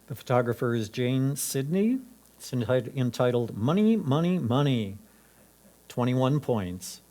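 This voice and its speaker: A man's voice: mid-range voice, lively intonation, measured pace, dark tone, slight background noise. A man announcing titles, names and scores at a club competition.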